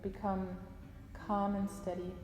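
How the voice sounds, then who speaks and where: A woman guiding a yoga nidra relaxation session, giving slow, calm instructions with long pauses. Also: mid-range voice. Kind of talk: guided relaxation meditation. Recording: roomy echo with long reverb, faint hiss.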